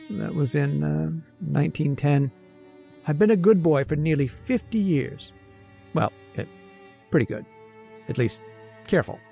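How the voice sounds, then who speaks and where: A man's voice: on the high side, vocal fry, dark tone. A man playing a henpecked, jittery immortal husband in an old-time radio drama, confiding his story with stammering, plaintive asides. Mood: wry, confiding. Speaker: actor. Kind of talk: old-time radio horror-comedy acting, first-person narration.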